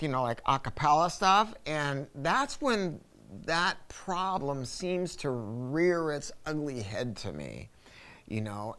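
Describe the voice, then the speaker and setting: A man's voice: medium pitch, fairly bright tone. A man in his sixties giving a casual, enthusiastic product review, talking in an emphatic, chatty way with asides. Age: 60s. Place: carpeted audio showroom studio with acoustic panels, indoors.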